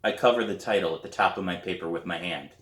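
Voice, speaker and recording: A man reading a novel aloud as its author, with expressive, deliberate and dramatic storybook narration. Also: low-pitched, roomy sound.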